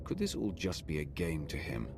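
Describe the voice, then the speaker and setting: A commanding Sith warrior with a low, expressive voice. He stays composed and authoritative, as in a game cutscene, with loud music underneath. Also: normal speed, creaky, heavily acted. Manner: controlled, authoritative. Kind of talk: cinematic video game acting.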